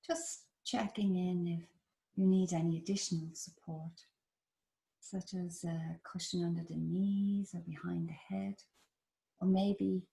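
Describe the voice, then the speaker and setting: A woman with an Irish accent leading a guided body scan meditation in a slow, soft, soothing manner. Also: fairly low pitch, vocal fry, low-quality recording. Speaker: meditation teacher.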